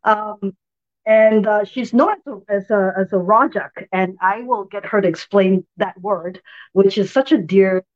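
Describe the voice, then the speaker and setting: A woman's voice: mid-range voice, unhurried, dull audio. A woman from Malaysia, hosting a spiritual talk show interview in an enthusiastic, effusive way. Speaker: podcast host.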